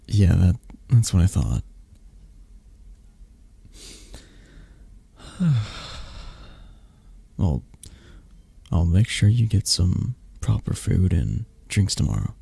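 A man playing a possessive, tender mafia boss lover in intimate roleplay ASMR, murmuring softly and hesitantly with a breathy, gravelly voice. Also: deep voice, sing-song, slow pace, pauses often, no room sound, full character voice. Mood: teasing, gentle.

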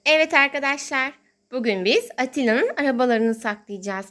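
A woman's voice: high voice, expressive, moderate pace, bright, forceful tone. A woman hosting toy play for young children as a mother, talking in a sing-song, exclaiming way and drawing out her words.

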